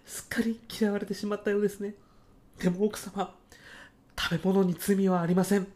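A man's voice: high-pitched, little pitch movement, brisk, darkish tone, close to an everyday voice. A man acting as an earnest, overzealous young salesman who moonlights as a food courier in a comic radio drama, speaking in eager, casual-polite salaryman style. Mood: tearful, frustrated.

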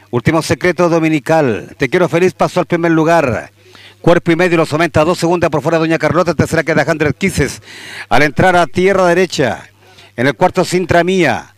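A man with a Chilean accent calling horse races live, steady through the field and louder and higher at the finish. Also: no echo. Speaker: horse racing announcer. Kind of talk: live race commentary.

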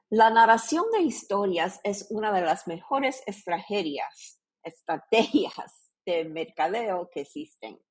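A woman with a Puerto Rican accent, hosting a religious podcast with scripted devotional narration and scripture readings in a deliberate, earnest manner. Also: medium pitch, breathy edge. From Puerto Rico.

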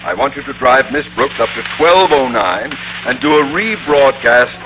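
An actor playing a pompous, blustering middle-aged male high school principal in a theatrical 1950s radio sitcom style. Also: lively intonation, normal speed, muffled recording, natural voice. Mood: scheming, stern. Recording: noisy old-time radio recording.

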